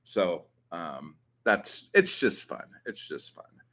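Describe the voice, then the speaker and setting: A man's voice: low-pitched, wildly swooping pitch, slow pace, fairly bright tone. A young man from Southern California with that accent, chatting casually in a vlog, rambling with plenty of ums and likes and the odd swear. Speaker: channel host answering viewer questions.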